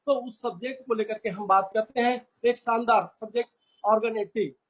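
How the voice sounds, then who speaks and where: A man with a very high, husky voice gives an earnest product training talk. He speaks to his listeners as friends and comes back to the same phrases again and again. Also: fairly even intonation, normal speed, low-quality recording. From India.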